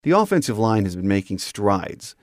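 A man's voice: lowish voice, big pitch swings, normal speed, no room sound, clean studio sound. An American man hosting a sports podcast, delivering scripted commentary as a monologue. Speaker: podcast host.

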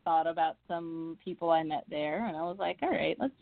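Low-voiced young woman on a phone line. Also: a little fast, creaky, dry recording. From Midwest US. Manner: chatty, laughs often, many fillers and restarts. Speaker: author being interviewed. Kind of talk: casual interview chat. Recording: phone-like narrow sound.

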